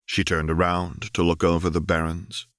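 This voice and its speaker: A man's voice: sits low, completely flat delivery, normal speed, no room sound, clean studio sound. A man reading a fiction audiobook as narrator, in dramatic scripted narration.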